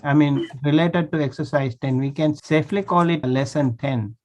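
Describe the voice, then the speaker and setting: A man's voice: fairly high pitch, moderate pace. A man, a monk, teaching a language class over a video call, patiently checking that students follow. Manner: patient, checks understanding often.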